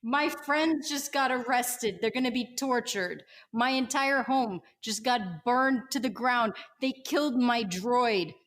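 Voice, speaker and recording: A woman's voice: on the high side, slow pace, hardly any pauses, a bit bright. A woman playing a bitter, hard-drinking rebel in a tabletop space game, intense, grumbling and defiant.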